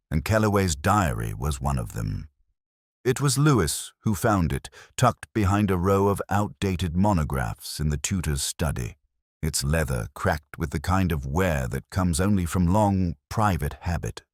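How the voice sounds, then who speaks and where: Casting: introspective male detective narrator performed by a man for an audio mystery, very deep, solemn and measured, with expressive intonation. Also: no echo, studio quality, full character voice. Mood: pensive. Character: brooding, introspective male English police detective recounting a case. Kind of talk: scripted first-person detective story narration.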